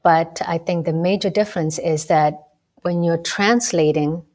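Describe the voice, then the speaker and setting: Lowish-voiced woman from Kenya. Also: a little mellow. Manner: measured, explanatory, with occasional fillers. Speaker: guest, medical student. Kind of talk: radio interview conversation.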